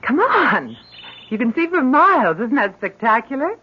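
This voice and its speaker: A fussing, high-voiced radio-drama mother. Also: big pitch swings, normal speed, breathy edge. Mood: bright, fussing. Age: middle-aged. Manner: chatty, solicitous. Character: fussing, anxious, loving mother of a grown daughter. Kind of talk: old-time radio drama acting. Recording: dull lo-fi radio broadcast recording.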